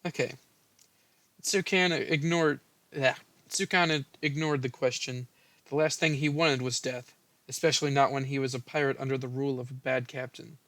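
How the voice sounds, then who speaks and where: A man's voice: mid-range voice, steady tone, moderate pace, fairly bright tone, dry recording. A young man casually reading a story aloud and bantering about it. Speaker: host reading own old fan fiction.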